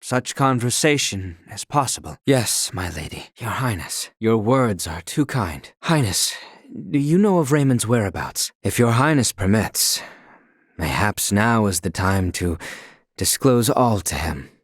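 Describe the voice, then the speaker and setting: A man's voice: lowish voice, expressive, a little slow, no room sound, studio quality. A man voicing a devoted, earnest young knight bodyguard in a fantasy video game, speaking in formal, archaic, courtly phrases. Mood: earnest, subdued, then deferential. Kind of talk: scripted fantasy game acting.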